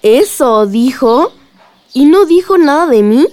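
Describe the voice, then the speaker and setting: Actress as young Mexican girl, very high voice, inquisitive, eager, candid, radio drama. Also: lively intonation, takes it slowly, darkish tone. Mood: curious.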